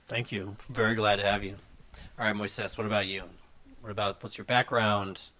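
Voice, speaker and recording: A man with a lowish voice hosts a laid-back talk show from a living room. He rambles a little and leans on fillers as he sets up questions, speaking into a handheld mic. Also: normal speed, a little hiss or hum. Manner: relaxed, rambling, many fillers. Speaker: host. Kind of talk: casual interview chat.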